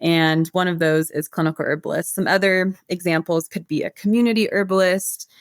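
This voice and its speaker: Earnest American woman herbalist, lower register, close dry mic. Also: fairly even intonation, a little fast, no room sound. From United States. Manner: earnest, flowing explanation with many "like" fillers. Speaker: herbalist guest. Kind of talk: casual podcast interview.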